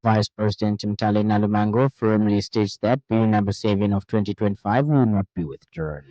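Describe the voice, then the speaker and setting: A man with a Zambian accent reading a scripted radio news bulletin in a formal, measured delivery. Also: lowish voice, dark tone, no echo, lo-fi sound. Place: radio studio.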